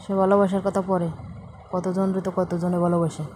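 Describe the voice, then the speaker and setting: A woman's voice: lowish voice, level tone, moderate pace, a little mellow, slight background noise. A young woman chats casually and bluntly, with a teasing manner, on a phone call recording. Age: young adult.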